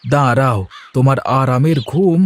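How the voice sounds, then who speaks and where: A man's voice: medium pitch, normal speed, lightly acted. A man voicing a sly, cheeky villager in a cartoon, wheedling and exclaiming as he talks. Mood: mischievous. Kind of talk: cartoon dialogue acting.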